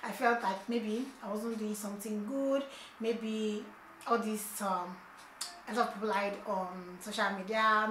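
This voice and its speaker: A woman's voice: mid-range voice, pushed and bright, a little hiss or hum. A young woman chatting casually to her viewers in a vlog update, often asking "okay?" and calling out to "you guys". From not from China. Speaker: vlogger. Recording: echoey room.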